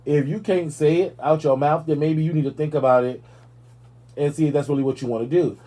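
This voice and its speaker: A man's voice: fairly high pitch, a little fast. A man hosting a live talk show, chatting casually and sassily about celebrity gossip, with playful asides. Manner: sassy, animated, playful asides.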